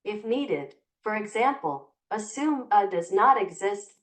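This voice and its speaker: A text-to-speech woman's voice methodically reads technical command help and spells each letter out. It plays from a distance, so it sounds set back from the microphone, and its pitch sits in a middle range. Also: lively intonation, unhurried. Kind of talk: synthetic read-out of command documentation.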